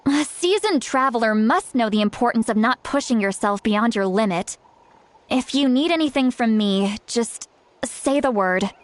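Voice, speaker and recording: A woman voicing a caring, upbeat young female adventurer in a game, who talks in a warmly reassuring and encouraging way. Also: on the high side, expressive, normal speed, close to an everyday voice. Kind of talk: game voice acting.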